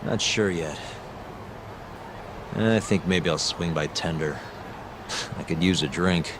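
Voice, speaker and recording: A man acting a calm, tough detective in an English video game dub. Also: deep voice, takes it slowly, background noise. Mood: calm, serious. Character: calm, tough male detective and former lawyer. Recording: music behind the voice.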